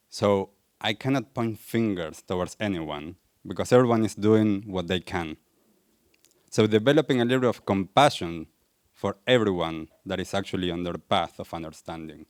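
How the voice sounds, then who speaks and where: A young man with an Argentine accent speaks to a live audience in a low voice, earnest and questioning. The sound is close and dry, with little room echo. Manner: earnest, asks the audience questions, light laughs. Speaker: wellness coach and speaker. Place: event venue in front of a live audience.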